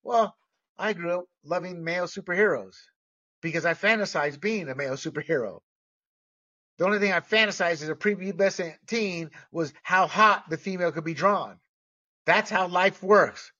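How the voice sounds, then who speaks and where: A middle-aged American man giving opinionated solo commentary, emphatic and halting, stretching out key words. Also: high-pitched, sharp tone. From United States. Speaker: movie commentator. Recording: dull, lo-fi sound.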